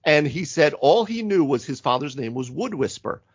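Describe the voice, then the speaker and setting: A man's voice: a little high, sing-song, moderate pace, husky, no room sound, dull audio. A man chatting casually about tabletop games as a podcast host, retelling moments and acting out dialogue. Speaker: podcast host and game master. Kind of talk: casual tabletop gaming chat.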